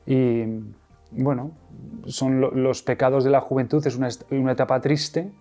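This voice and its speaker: A middle-aged man speaks in a warm, dark-toned voice. He recounts his personal story haltingly, full of pauses, fillers and false starts. Soft music plays faintly beneath him. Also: medium pitch, little pitch movement. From Madrid, Spain. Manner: hesitant, many fillers and restarts. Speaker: interview guest. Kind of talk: personal testimony interview.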